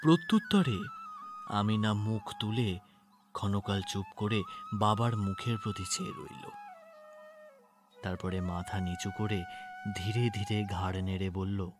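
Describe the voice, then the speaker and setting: A man narrating a literary story dramatically, in a measured and expressive way. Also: fairly low pitch. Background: background music.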